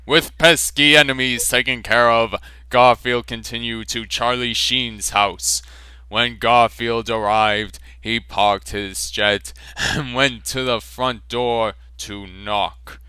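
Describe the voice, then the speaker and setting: A man narrating an amateur fan fiction reading in a slow, amused, deadpan way. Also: medium pitch, clear, resonant tone, no echo.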